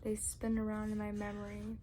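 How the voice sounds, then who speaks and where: A woman reading a story aloud in ASMR style, speaking softly and often dropping into a whisper. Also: medium pitch, monotone, brisk, slight background noise. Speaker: ASMR reader.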